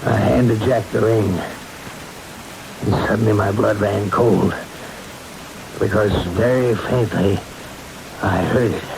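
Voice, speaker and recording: An actor playing a haunted best man who recounts a ghost story gravely and suspensefully in an old-time radio drama. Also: lowish voice, fairly even intonation, takes it slowly, long pauses, noisy background.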